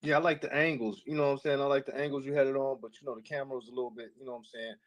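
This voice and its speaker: Guy on a live stream, talks fast, lots of "you know" fillers, easygoing. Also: mid-range voice, steady tone, low-quality recording. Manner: fast, filler-heavy talk, keeps saying "you know". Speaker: livestream guest. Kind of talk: casual live chat.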